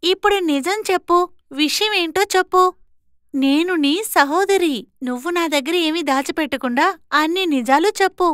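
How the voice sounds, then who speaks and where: An extremely high female voice, recorded dry, playing a confident young woman as a voice actress does her. She is bold and assertive, in cartoon moral-story style. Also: normal speed, a bit bright, no echo. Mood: concerned. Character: bold, educated young twin sister. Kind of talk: animated moral-story voice acting.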